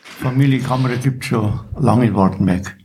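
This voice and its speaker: A man's voice: mid-range voice, normal speed, a little mellow, slight background noise. A man in his eighties with a Bavarian accent, casually reminiscing as a podcast guest. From Bavaria, Germany. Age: 80s. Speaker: podcast guest, local veteran.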